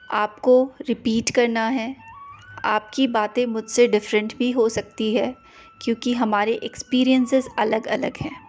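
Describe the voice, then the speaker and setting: Slow, gentle woman guiding meditation. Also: fairly high pitch, slight background noise. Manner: slow, gentle, repetitive phrasing. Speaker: meditation guide. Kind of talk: guided prayer meditation.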